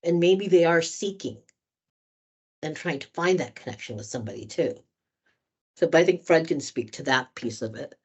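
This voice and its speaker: A woman's voice: deep voice, animated, moderate pace, long pauses, husky. A woman trainer answering questions in a conversational workshop, candid and thoughtful, speaking at length. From United States.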